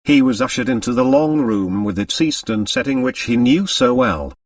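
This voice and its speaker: A male audiobook narrator reads fiction in a medium-pitched voice with lively, varied intonation. The sound is dry, though he seems slightly away from the microphone. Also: moderate pace, no room sound, recorded from a distance. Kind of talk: expressive scripted fiction narration.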